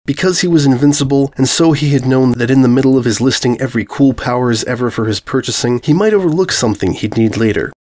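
A man's voice: medium pitch, fast, hardly any pauses, darkish tone. A man narrating comic fantasy fiction as a scripted dramatic reading.

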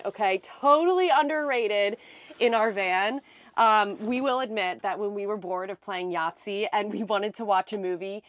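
A young American woman giving a chatty, explanatory walkthrough tour inside a small camper van, speaking casually into a clip-on mic. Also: medium pitch. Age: young adult. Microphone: clip-on lapel mic.

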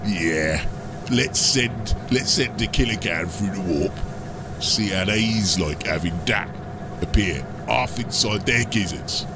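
A man voicing a scheming, brutish ork mechanic in a fantasy audiobook, with a gravelly voice and broken grammar. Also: on the low side, animated, noisy background. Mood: cackling glee. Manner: slow, broken grammar, mulling aloud.

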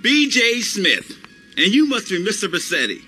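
Showy male ex-athlete turned salesman, game character, lively bright voice, faint music. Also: sits high, normal speed, slightly rough, full character voice. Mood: breezy. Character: smooth-talking retired male sports star selling his business. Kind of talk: video game cutscene acting.

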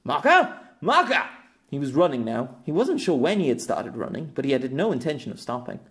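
A man's voice: medium pitch, lively intonation, fast talker, a little mellow. A man reading a scripted fiction story as a dramatic audiobook narrator.

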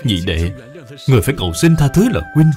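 A man's voice: mid-range voice, sing-song, fast talker, a little mellow, soft background music, close to an everyday voice. A man voicing a contrite elder brother in a cartoon, pleading and blaming himself. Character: ashamed, contrite elder brother in old China.

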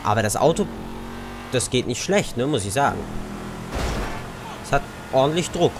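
A man's voice: mid-range voice, lively intonation, moderate pace. A young man with an Austrian German accent giving casual, chatty commentary over his gameplay and cursing at other drivers. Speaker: let's play gamer. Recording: game car noise under voice.

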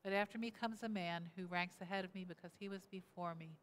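Woman pastor in her sixties, smooth voice, interactive sermon at a lectern in a large echoing sanctuary. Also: fairly low pitch, steady tone, fairly quick. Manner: asks the congregation questions and repeats their answers. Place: church sanctuary, indoors, at a lectern. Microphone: lectern mic, camera at mid distance. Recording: reverberant church hall.

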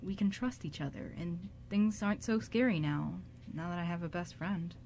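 An earnest young man in an amateur radio drama, played by a woman. He speaks haltingly and with open feeling. He means to reassure, stumbling over his words as he goes. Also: lowish voice, vocal fry, a little mellow, slight background noise. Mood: soothing. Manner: halting, stammering, emotional delivery.

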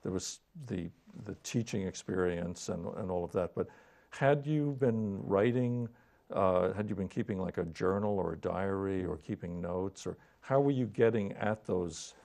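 An older man hosting a literary interview, asking questions in a measured, hesitant way. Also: low-pitched, gravelly, darkish tone. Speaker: interviewer, host. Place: TV studio, indoors. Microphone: lapel mic.

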